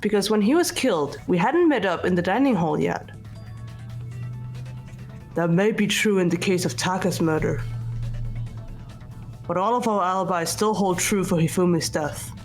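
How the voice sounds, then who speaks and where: A woman playing a composed, logical student detective in an amateur game dub, speaking in a measured way over music. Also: fairly low pitch, close to an everyday voice. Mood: calm, analytical. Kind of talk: scripted amateur visual novel voice acting. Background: background music.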